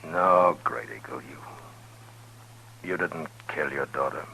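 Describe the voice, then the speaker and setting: A stern, weary lawman of the old West with quiet compassion. The voice is extremely low, creaky and forceful, terse and grave, as heard in 1950s radio drama. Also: slow pace, slight background noise, full character voice, rough recording. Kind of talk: 1950s radio western acting and narration.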